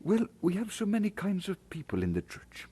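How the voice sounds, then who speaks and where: An actor playing an earnest, modest Scottish minister in an audio drama, with a husky voice and expressive delivery. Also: male voice, high-pitched, normal speed, heavily acted. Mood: troubled, reflective.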